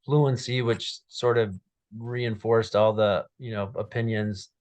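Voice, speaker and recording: A man in his thirties from Minnesota who stutters, telling his personal story to a webcam in a small dark room, with repeated sounds, blocks and many fillers. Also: fairly low pitch, fairly even intonation, slow. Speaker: guest speaker. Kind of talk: personal story told to camera.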